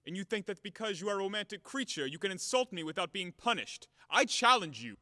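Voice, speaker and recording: An actor playing a brash, hot-tempered, blunt creditor in an audio play of a classic farce, with a blustering, theatrical, emphatic manner. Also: male voice, sits high, expressive, fast talker, sharp tone, dry recording, studio quality, big-room sound. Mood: outraged, blustering.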